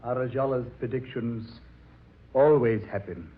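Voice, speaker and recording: An actor playing a sinister, coldly vain fortune teller in an old radio drama, speaking with formal, deliberate menace. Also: male voice, medium pitch, close to an everyday voice. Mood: smug menace. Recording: muffled, noisy old radio recording.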